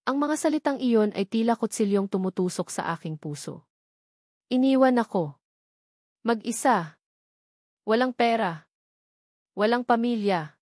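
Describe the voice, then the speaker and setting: A woman's voice: fairly high pitch, expressive, a little slow, long pauses, a little mellow, no echo, studio quality. A woman with a Filipino accent narrates a scripted first-person romance story in an emotive way, setting short phrases apart for drama.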